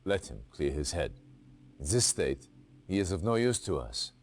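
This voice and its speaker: An actor playing a stern male military officer giving a mission briefing in a game, crisp and authoritative. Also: low-pitched, big pitch swings, moderate pace, a little hiss or hum. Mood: commanding.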